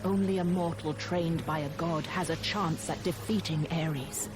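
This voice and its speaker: A woman playing a stately, commanding Greek goddess in a video game, speaking formally and gravely. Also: deep voice, fairly even intonation, measured pace. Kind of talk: dramatic video game acting. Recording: music under the voice.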